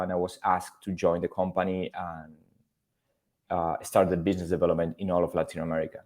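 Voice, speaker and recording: A man's voice: on the low side, mellow. A man from Argentina, speaking as a podcast guest in a thoughtful, casual way with frequent fillers. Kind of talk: casual interview talk.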